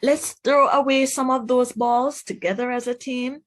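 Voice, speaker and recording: A woman voicing a supportive, understanding father in a children's storybook, calm and explanatory. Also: high voice, normal speed, pitched above the performer's own voice, natural voice.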